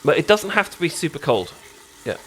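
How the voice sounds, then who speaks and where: A British man playing a caring, chatty best friend in an intimate ASMR roleplay, talking casually with halting, stammering explanations. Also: on the high side, very animated, a bit bright. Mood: gentle, reassuring. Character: caring, chatty male best friend teaching sushi making.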